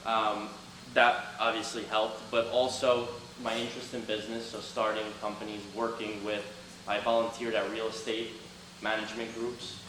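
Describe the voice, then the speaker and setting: A man's voice: on the low side, little pitch movement, normal speed. A young man speaking to students as a guest speaker, earnest and motivational, answering questions. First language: Albanian. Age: young adult. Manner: earnest, motivational, loud at the start. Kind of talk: talk to students with Q&A. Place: classroom. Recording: roomy, from a distance, faint noise.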